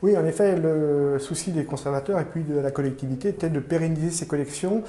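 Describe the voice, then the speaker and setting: A man giving formal, measured explanations in an interview as a museum curator, often pausing mid-phrase as he searches for words. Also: on the high side, mellow. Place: indoor room in a museum. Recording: roomy, echoing indoor sound.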